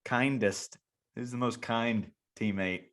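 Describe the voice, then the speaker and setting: A man's voice: mid-range voice, slow, a bit bright. A man hosting a casual interview and coaching kids on the side, rambling with many restarts and repeated words. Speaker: podcast host and youth baseball coach.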